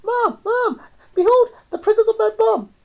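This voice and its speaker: A woman's voice: very high-pitched, moderate pace, creaky, narrow, tinny audio, voice pushed up. A woman voicing a panicky little girl who shrieks and pleads in a theatrical verse story. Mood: panicked.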